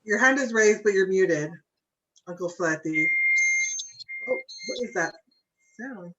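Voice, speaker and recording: A woman's voice: mid-range voice, animated, very noisy. Woman moderating a muffled video call. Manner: friendly prompting, quick check-ins. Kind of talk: casual family video call.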